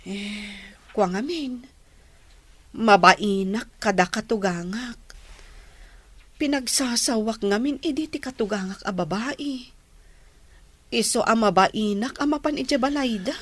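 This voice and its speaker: A woman with an Ilocano accent playing a sheepish, hesitant wife in a radio drama, speaking haltingly. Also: on the high side.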